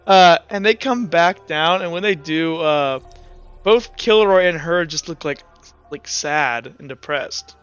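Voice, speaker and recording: A man's voice: a little high, measured pace, a bit bright, clear, resonant tone, muffled recording, soft background music. A man narrating casually as game master of a tabletop roleplaying game, in an informal, rambling way.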